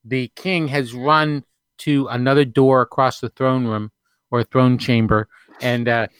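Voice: medium pitch, fairly even intonation, measured pace, smooth, dry recording. Dm narrating a tabletop game, ums and uhs everywhere, dry close mic. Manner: hesitant, many fillers, laughs often. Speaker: game master.

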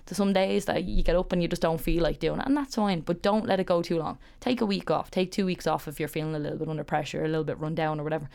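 A woman's voice: low voice, fairly even intonation, fast talker, vocal fry, few pauses, darkish tone. A woman martial arts coach, speaking earnestly and encouragingly in an interview clip. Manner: earnest, encouraging, repeats words when starting.

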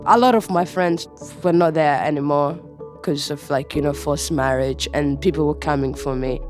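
A young woman from Somalia with a Somali accent, telling personal stories casually in informal, halting phrases. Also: deep voice, music in the background. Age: young adult. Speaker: interview guest, student and storyteller. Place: studio.

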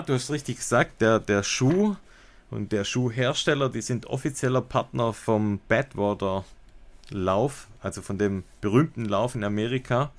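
A man chatting casually as a podcast co-host, enthusiastic and colloquial with bits of English slang. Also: lowish voice. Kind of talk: casual podcast chat and product review.

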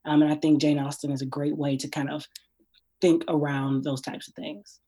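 A woman's voice: low voice, flat delivery, normal speed, dark tone, breathy edge. A woman guest introducing herself earnestly as an anthropologist and writer. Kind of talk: self-introduction on a podcast.

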